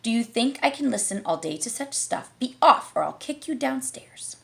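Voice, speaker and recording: Spry boastful old man of a nursery poem, bouncy and lively, as a woman recites him. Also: on the high side, moderate pace, vocal fry, pitched above the performer's own voice.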